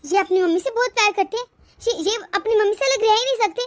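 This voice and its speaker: औरत की आवाज़ में बहुत ऊँची आवाज़ वाली रूठी पत्नी. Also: female voice, very high voice, quick pace. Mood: anxious, indignant. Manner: dramatic, repeats words when upset. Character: young wife, jealous, wounded, sulky and controlling. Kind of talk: melodramatic domestic story acting.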